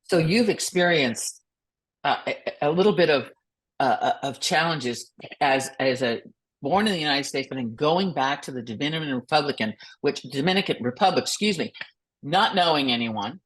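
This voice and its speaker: Low-voiced enthusiastic woman podcast host. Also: animated, normal speed, slightly rough. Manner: enthusiastic, effusive, stumbles over words while reading. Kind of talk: upbeat motivational podcast hosting.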